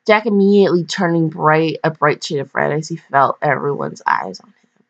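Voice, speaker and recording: A woman's voice: deep voice, expressive, moderate pace, creaky. A woman casually reading fan fiction aloud with slangy, blunt, digressive commentary and mock scolding. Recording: dull, lo-fi home recording.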